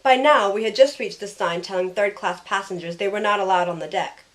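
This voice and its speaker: A woman's voice: mid-range voice, fairly quick, lightly acted. A woman playing a sardonic, loyal young heroine who narrates her own story in an audio drama. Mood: storytelling.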